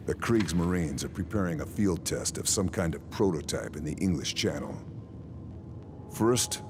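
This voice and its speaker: A man's voice: extremely low pitch, noisy background. A man voicing a steely, unflappable soldier in a video game, speaking in a terse, restrained way. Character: gruff, steely, unflappable male Allied sniper and soldier. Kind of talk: scripted game narration and dialogue.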